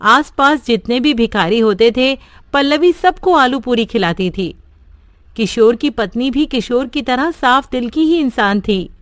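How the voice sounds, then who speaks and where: A woman narrating a simple cartoon story in a steady storybook manner. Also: fairly high pitch, measured pace, no room sound, clean studio sound.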